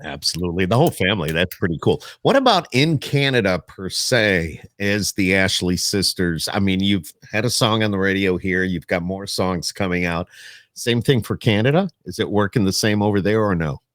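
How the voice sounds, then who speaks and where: A man's voice: low voice, lively intonation, moderate pace, a bit bright, dry recording, studio quality. A man chatting casually as the host of a podcast interview.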